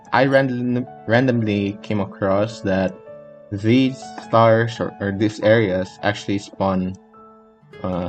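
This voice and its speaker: A man's voice: lowish voice, dull audio. A man giving casual, unscripted gameplay commentary in a hesitant, rambling, easily distracted way. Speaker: gaming video creator. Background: faint game music.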